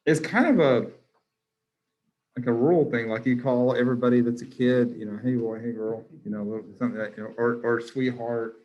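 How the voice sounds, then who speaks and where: A man's voice: mid-range voice, steady tone, brisk, lo-fi sound. A man teaching a class over a video call, joking and teasing his students, with many restarts.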